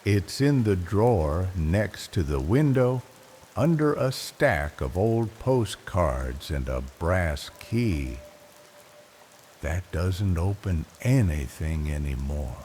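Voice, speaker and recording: Very slow, deep older male storyteller. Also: very animated. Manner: reflective, wistful, with long pauses. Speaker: bedtime story narrator. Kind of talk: slow, hushed scripted bedtime storytelling. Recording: rain noise behind the voice. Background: soft rain ambience.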